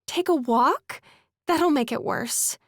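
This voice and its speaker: A fretful, doubting female schemer with a fairly high voice. She delivers audiobook drama dialogue in a worried manner, recorded close and dry. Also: slow pace, no echo. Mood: anxious. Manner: worried, skeptical. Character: anxious, fretting female community theater schemer. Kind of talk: full-cast audiobook dialogue acting.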